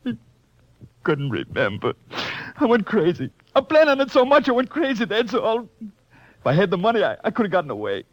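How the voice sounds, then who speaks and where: A man's voice: high voice, wildly swooping pitch, moderate pace, no room sound, heavily acted. A man playing a cornered, unstable killer in a 1950s radio crime drama, with an agitated, melodramatic delivery. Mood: broken, desperate. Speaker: actor playing the villain. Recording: dull, dry old radio recording.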